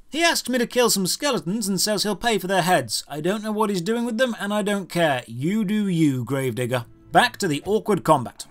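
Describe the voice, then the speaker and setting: Sarcastic, animated man with high-pitched voice. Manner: sarcastic, exasperated emphasis. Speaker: video game reviewer. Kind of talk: scripted comedic review narration over gameplay. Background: background music.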